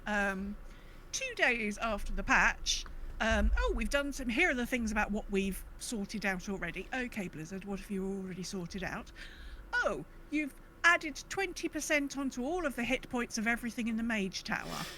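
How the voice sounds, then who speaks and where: A woman with a fairly high voice co-hosts a casual gaming podcast. She talks in an emphatic, exasperated way, throwing out rhetorical questions. There is some noise behind her. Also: animated, moderate pace, pushed and bright, rough recording. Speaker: podcast co-host. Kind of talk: casual chat about gaming.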